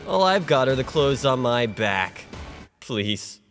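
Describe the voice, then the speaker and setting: A man's voice: medium pitch, big pitch swings, slow, a bit bright, faint music behind, exaggerated voice. A man voicing a hapless, broke young man lost in another world, dramatic and self-pitying, in an anime parody dub. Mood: pitiful.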